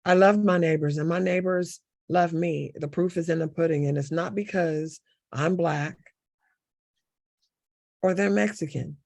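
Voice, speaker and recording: Woman candidate, gravelly and impassioned, dry close sound. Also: lowish voice, unhurried, darkish tone, no room sound. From Southern United States. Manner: impassioned, repeats key words for emphasis. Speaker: city council candidate. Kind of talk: panel interview answer.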